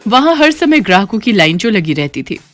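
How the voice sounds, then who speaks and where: A woman narrates a scripted moral tale. Her voice sits at a middle pitch, and faint music plays beneath the storytelling. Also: big pitch swings, brisk.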